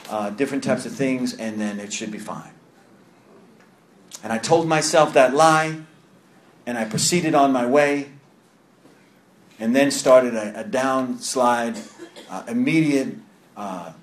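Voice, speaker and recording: A man's voice: medium pitch, a little slow, long pauses. Earnest New York man in an echoey room. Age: 30s. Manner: earnest, confessional, repeats and restarts phrases. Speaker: recovery meeting speaker. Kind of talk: personal testimony to a group. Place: meeting room.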